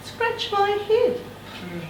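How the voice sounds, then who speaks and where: A woman's voice: extremely high pitch, wildly swooping pitch, extremely slow, pitched above the performer's own voice, full character voice. A woman voicing a plaintive, demanding female cat, mewing and trilling, in a book reading. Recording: echoey room, distant mic, background noise.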